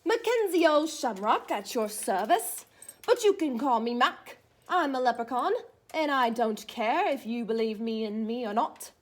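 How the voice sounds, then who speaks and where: A woman's voice: on the high side, lively intonation, a little slow. A woman reading the part of a feisty, proud little old leprechaun cobbler from a storybook, with boastful, folksy phrasing.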